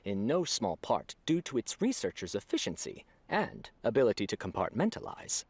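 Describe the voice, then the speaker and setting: A man's voice: lowish voice, huge pitch swings, normal speed, slightly rough, dry recording, exaggerated voice. A man playing an officious debate judge in an anime game, announcing in formal, precise phrases. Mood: impartial, official.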